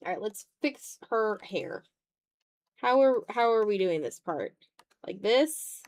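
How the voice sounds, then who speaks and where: A woman's voice: medium pitch, expressive, takes it slowly, darkish tone. A woman livestreamer chatting casually while drawing, thinking aloud in fragments with a self-deprecating manner. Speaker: art livestreamer.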